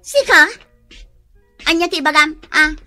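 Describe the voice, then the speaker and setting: Shrill, prickly Filipino rival. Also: female voice, slow pace, bright, forceful tone, faint music behind, heavily acted. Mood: suspicious. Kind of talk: radio drama acting.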